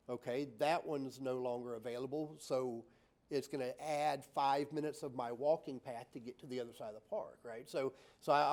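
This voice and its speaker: A man's voice: medium pitch, a little mellow. A man, a designer on a panel, answering questions in a loose, filler-heavy way, often ending points with "right?" and acting out examples. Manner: many fillers and restarts, tag "right?"; explains with acted-out examples.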